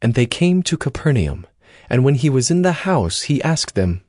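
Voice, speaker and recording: A man's voice: mid-range voice, big pitch swings, normal speed, darkish tone, no room sound, studio quality. A man reading scripture as the narrator of a dramatized audio Bible. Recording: music bed under the voice. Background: background music.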